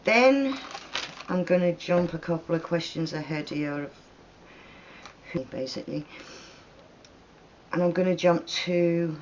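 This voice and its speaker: Host in their 30s with a mixed northern/southern English accent, rambling, chatty, quick to laugh. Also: medium pitch, slight background noise, poor audio. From northern England. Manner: chatty and rambling, laughs often, lots of fillers. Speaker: channel host answering viewer questions. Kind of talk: casual Q&A chat.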